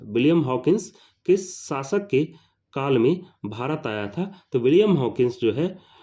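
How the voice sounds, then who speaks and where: A male teacher works through exam questions, repeating each question and each answer. His voice is warm and mellow. The recording sounds dull and lo-fi. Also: a little high.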